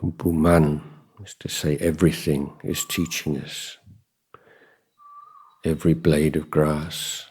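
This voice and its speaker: A man giving a guided meditation talk as a Buddhist teacher, contemplative and measured, pausing often between short phrases. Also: a bass voice, level tone, darkish tone, breathy edge.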